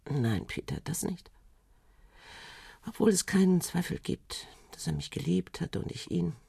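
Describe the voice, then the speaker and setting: Vengeful proud wife, husky, creaky, very animated pitch, commanding, theatrical radio drama. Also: female voice, a little slow, dry recording, studio quality, full character voice. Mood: wry, bitter. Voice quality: husky, gravelly. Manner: dramatic, commanding, swinging from murmur to sharp attack. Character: bitter, proud, theatrical betrayed wife, a lawyer.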